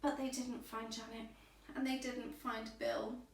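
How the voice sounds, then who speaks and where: A woman with a fairly high voice reads a rhyming bedtime story for children. Her phrasing is slow, deliberate and expressive. It sounds like a roomy space with plenty of echo. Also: creaky, slight background noise. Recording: roomy echo, distant microphone.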